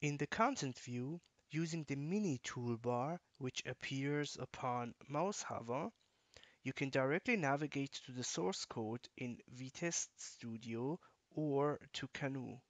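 Measured man narrating a software tutorial. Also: medium pitch, lively intonation, dry recording. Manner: measured, instructional. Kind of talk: scripted screencast narration.

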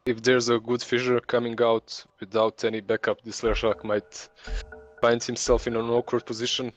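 Give me a man with a Russian accent casting esports in a relaxed, joking way. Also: mid-range voice, moderate pace, faint music behind, poor audio. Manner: joking, laid-back. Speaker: co-caster. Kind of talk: esports commentary.

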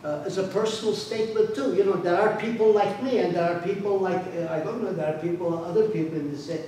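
Older man, halting talk in an echoey hall. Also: sits high, fairly even intonation, warm tone. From Turkey. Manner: halting, many restarts and fillers. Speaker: guest speaker. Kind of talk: academic lecture discussion. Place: large lecture room. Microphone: podium microphone, standing. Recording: echoey room, distant mic, faint noise.

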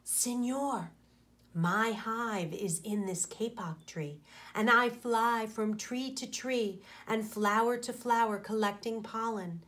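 A woman's voice: on the high side, slow, fairly bright tone. A woman voicing a little bee in a storybook, earnest and explanatory in manner. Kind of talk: storybook read-aloud.

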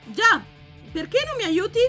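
A woman's voice: very high voice, huge pitch swings, a little slow, over loud music, heavily acted. A woman playing a plucky young anime heroine in a parody dub, dramatic and emphatic. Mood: wry.

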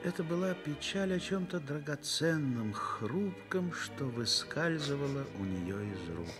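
A man narrating a Soviet radio drama in a measured, dramatic storytelling manner. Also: on the high side, very animated, over loud music.

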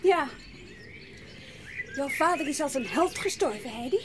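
An actress playing a brusque, impatient aunt in a children's radio play, with a curt, sighing way of talking. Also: female voice, high-pitched, lively intonation, measured pace, noisy background. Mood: sombre. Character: brusque, impatient aunt minding her orphaned niece. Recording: clear outdoor ambience with birdsong.

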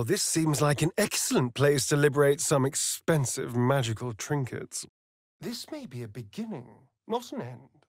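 An actor playing a sly, vain vampire rogue in a video game, with a theatrical, arch, drawling delivery. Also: male voice, medium pitch, dry recording, studio quality. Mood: arch, scheming, then hushed, intense.

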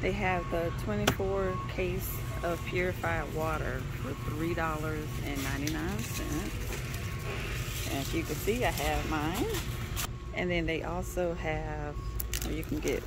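A woman's voice: sits low, steady tone, very noisy. A woman vlogging a shopping trip, walking through a big warehouse store and reading out prices item by item on her phone. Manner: unhurried, reads out prices item by item. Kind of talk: casual shopping walkthrough. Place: large warehouse store, indoors. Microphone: handheld phone, voice behind camera.

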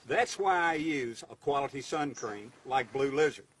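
Folksy middle-aged fishing show host. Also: male voice, medium pitch, animated, moderate pace, fairly bright tone. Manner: folksy, exclaims at mishaps. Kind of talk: comic fishing show parody. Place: outdoors by a lake.

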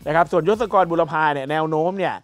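A man's voice: fairly high pitch, fast, no echo. A middle-aged man giving conversational football analysis as a pundit in a TV studio. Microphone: lapel mic.

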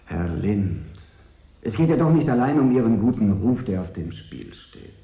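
An actor playing a smooth, polite but ruthless consortium agent in a radio drama, articulate, wry and persuasive. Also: male voice, on the low side, big pitch swings, normal speed, dark tone, roomy sound. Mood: insistent, persuasive. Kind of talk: 1980s German radio drama acting.

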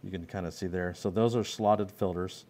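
A man with a fairly low voice walks through a build in his workshop, talking casually as he films on a handheld phone. He explains each part plainly and hands-on, like a builder showing a customer around. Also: steady tone, moderate pace, darkish tone, a little hiss or hum. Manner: explaining hands-on while filming himself. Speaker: pressure washing skid builder. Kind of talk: casual walkaround tour.

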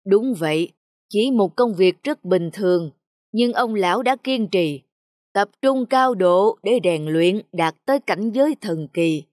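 A woman voicing a patient, wise old male village schoolmaster in a cartoon, measured and instructive. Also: medium pitch, animated, a little mellow, no room sound, studio quality, natural voice. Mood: earnest, explaining. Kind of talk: scripted cartoon dubbing. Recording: music under the voice.

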